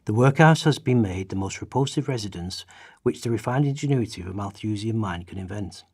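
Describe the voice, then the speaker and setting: Man acting grave, husky social chronicler. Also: fairly low pitch, expressive, a little fast, no echo, studio quality. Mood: grave, measured. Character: grave male social writers of past centuries chronicling poverty. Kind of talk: dramatised reading in a radio play.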